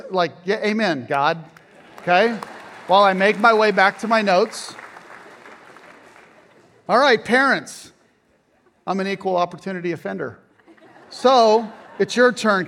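A man's voice: sits high, fairly bright tone. A middle-aged man preaching a conversational sermon, casual and self-deprecating with joking asides.

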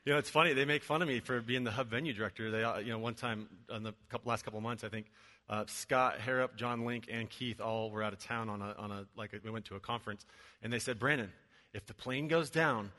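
A man's voice: mid-range voice, brisk, sharp tone. Chatty preacher man in an echoing hall. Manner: chatty and joking, many fillers. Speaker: venue director and preacher. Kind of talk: casual church sermon. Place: large church auditorium. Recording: long hall reverb.

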